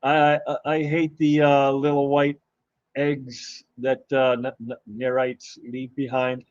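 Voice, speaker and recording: A man hosting a live stream, chatting casually and greeting viewers in a rambling, halting way while his voice is going hoarse. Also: medium pitch, completely flat delivery, no room sound, muffled recording. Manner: rambling, halting, with many fillers and restarts. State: losing his voice. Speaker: livestream host.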